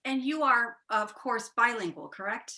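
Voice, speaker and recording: Bright, enthusiastic woman host. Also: fairly high pitch, moderate pace. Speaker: show host. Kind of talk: interview conversation.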